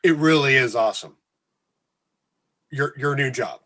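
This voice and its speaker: A man playing an awkward, sarcastic radio colleague with a husky voice and halting delivery in a comedy radio drama. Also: mid-range voice, a bit bright, lightly acted. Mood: sentimental, teasing. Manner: halting, hesitant, stammering.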